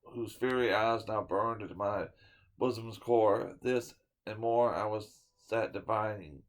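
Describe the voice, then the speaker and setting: A man's voice: lowish voice, unhurried. Theatrical man reading a poem. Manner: theatrical, swinging from loud to hushed; stumbles and restarts words now and then. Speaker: storyteller reading a poem aloud. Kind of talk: dramatic poetry reading.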